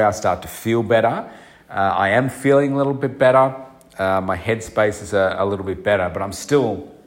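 A middle-aged man hosting a solo podcast, speaking earnestly and reflectively with many fillers, his voice nasal and congested from a cold. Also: on the low side. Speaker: podcast host and coach.

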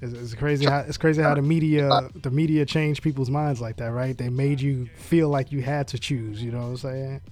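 A man's voice: medium pitch, fairly even intonation, normal speed, hardly any pauses, a little mellow. A man from New York hosting a casual interview podcast, talking in an agreeable, slangy, laid-back way.

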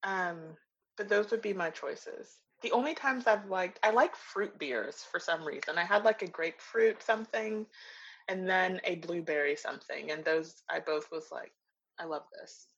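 A woman's voice: lowish voice, moderate pace, vocal fry, slightly rough. A woman hosting a podcast, chatting in a friendly, easy way. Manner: friendly, chatty, uses y'all. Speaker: podcast host. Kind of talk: casual interview chat. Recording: dull lo-fi sound.

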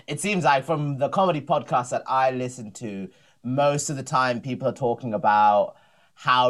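A man, a comedian co-hosting a podcast, chatting in a laid-back, easily amused way. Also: medium pitch. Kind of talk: casual podcast chat.

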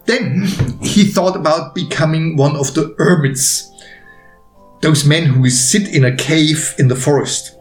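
A man reading his own story aloud as a narrator, gently wry and unhurried, with deliberate pauses. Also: fairly high pitch, roomy sound, soft background music.

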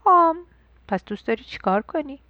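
A woman voicing a cheerful female mouse schoolteacher in a bright, raised, encouraging tone. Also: sits high, expressive, no room sound, muffled recording, pitched above the performer's own voice.

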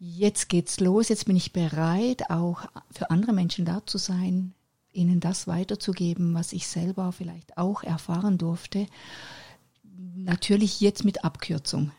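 A middle-aged woman talks as a guest in a relaxed interview. Her voice is warm and mellow, and she answers in an open, reflective way. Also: lowish voice, unhurried, breathy edge. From Switzerland. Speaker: life coach, guest. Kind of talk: casual interview chat.

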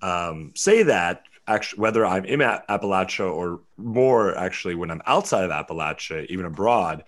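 A man's voice: sits low, lively intonation, normal speed, hardly any pauses. A young man from West Virginia, a guest filmmaker and actor, talking casually in an interview and rambling with fillers and restarts. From West Virginia, USA. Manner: rambling, self-correcting, many fillers.

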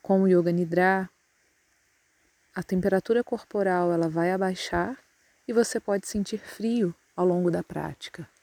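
A woman with a Brazilian Portuguese accent leads a guided yoga nidra meditation, giving calm, soothing instructions with long pauses. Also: lowish voice, no echo.

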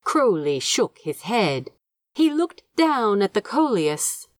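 A woman reading a story aloud as an audiobook narrator, measured and expressive in her scripted delivery. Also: mid-range voice, dry recording, studio quality. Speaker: fan fiction audiobook narrator.

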